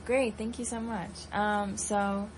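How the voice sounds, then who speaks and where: A woman's voice: medium pitch, level tone, slow, a little hiss or hum, low-quality recording. A young adult woman working as a student interviewer, reading out prepared questions and introductions.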